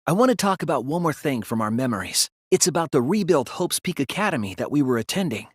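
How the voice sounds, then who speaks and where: A thoughtful teenage male detective from a video game, reasons aloud with earnest resolve. His voice is breathy and very dry in the recording, with lively swings of pitch as he deliberately builds and presses each deduction. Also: dry recording. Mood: grave, reasoning. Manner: deliberate, reasoning aloud, emphatic when refuting. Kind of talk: English video game voice acting, dramatic deduction scenes.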